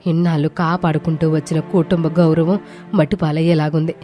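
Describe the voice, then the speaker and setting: A woman's voice: sits low, flat delivery, fairly quick, warm tone, faint music behind, close to an everyday voice. A woman voicing a stern, scolding middle-aged village mother-in-law with rustic speech in a cartoon moral story. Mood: worried, ashamed.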